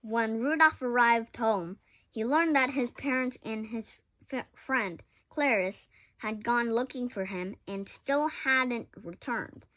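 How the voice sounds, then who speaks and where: A woman's voice: on the high side, slow, fairly bright tone. A woman reading a children's picture book aloud expressively, with occasional stumbles and self-corrections. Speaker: story reader.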